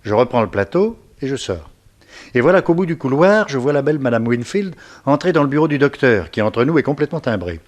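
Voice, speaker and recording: Man as cheeky sidekick, mid-pitched voice. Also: very animated, a little fast, lightly acted. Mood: breezy, proud. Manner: chatty, colloquial storytelling. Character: plucky, cheeky detective's sidekick, breezy and slangy. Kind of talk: 1960s French radio serial acting.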